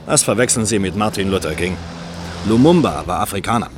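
An actor playing an officious, pedantic official type in a radio play, correct and instructive. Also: male voice, on the low side, animated, a little fast, background noise, close to an everyday voice. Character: officious, pedantic man lecturing on traffic rules. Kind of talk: naturalistic radio play acting.

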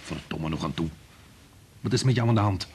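An actor playing a blunt, sardonic, irritable husband in a Dutch radio drama. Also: male voice, deep voice, expressive, fairly quick, husky, exaggerated voice. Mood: irritated, sarcastic.

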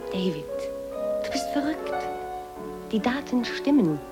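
A woman's voice: fairly high pitch, big pitch swings, unhurried, over loud music. A woman acting a sensible, sceptical media professional in a German radio drama, reasoning in a direct way. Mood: exasperated, reassuring. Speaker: actor.

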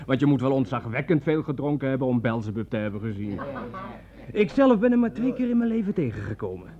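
A man's voice: mid-range voice, sing-song, fast talker, natural voice. An actor playing a jovial, sceptical hard-drinking tavern man in a Dutch radio play, teasing with heavy sarcasm. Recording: dull lo-fi old radio recording.

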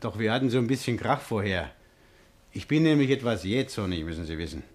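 An actor in a 1950s German radio crime drama playing an anxious, hot-tempered working-class man who tells his story haltingly. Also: lowish voice, animated. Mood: uneasy, confiding.

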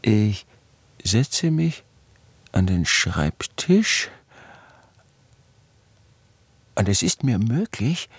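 An actor playing an obsessed, despairing older man, a failed scholar, in a halting, repetitive literary radio drama monologue that swells into outbursts. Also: on the low side, slightly rough, no room sound, exaggerated voice. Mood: slow, intent.

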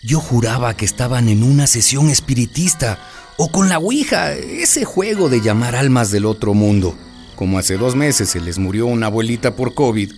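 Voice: medium pitch, animated, moderate pace, a little mellow, soft background music, lightly acted. A performer playing a gossipy, amused neighborhood storyteller in a radio drama, narrating in a wry, colloquial, confiding way.

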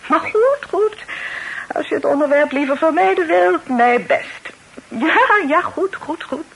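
Fussy aunt, swooping chattery voice. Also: female voice, high-pitched, animated, a little slow, creaky, heavily acted. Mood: reproachful, huffy. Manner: chattering, bossy, flustered. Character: fussy, bustling, chatty well-to-do middle-aged Dutch aunt. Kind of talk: radio drama acting. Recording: noisy old radio play recording.

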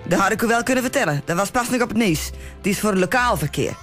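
A woman's voice: medium pitch, animated, fast talker, soft background music, lightly acted. A woman playing a practical, chiding village wife with a Brabant Dutch accent in a comic radio play. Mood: nagging. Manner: chiding, down-to-earth.

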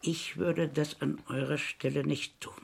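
A man's voice: fairly high pitch, steady tone, a little slow, gravelly, noisy background. An actor playing a stern, dry middle-aged father and investigator in a German radio crime drama, speaking with reproving authority. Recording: vintage radio play studio recording.

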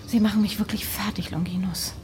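A woman's voice: mid-range voice, fairly even intonation, fairly quick, darkish tone, a little hiss or hum, lightly acted. An actress in a German radio drama playing a sardonic female psychiatrist who questions her patient with dry, probing skepticism. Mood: weary, resigned.

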